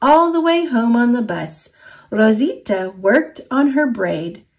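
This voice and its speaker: Slow, expressive American woman reading a storybook. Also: a little high. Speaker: children's storybook reader. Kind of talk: expressive read-aloud storybook narration.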